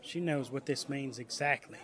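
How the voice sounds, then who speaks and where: A man's voice: mid-range voice, normal speed, fairly bright tone. A man reading scripted story narration in a dramatic audiobook style.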